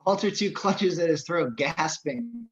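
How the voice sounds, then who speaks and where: A man's voice: on the high side, lively intonation, measured pace, lo-fi sound. A man reading out the stage directions of a film script as scripted narration.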